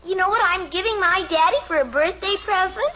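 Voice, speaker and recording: A woman's voice: extremely high pitch, a little fast, sharp tone. A female performer playing an eager, chatty, stubborn little American girl in an old-time radio sitcom. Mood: insistent, eager. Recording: muffled, noisy old radio recording.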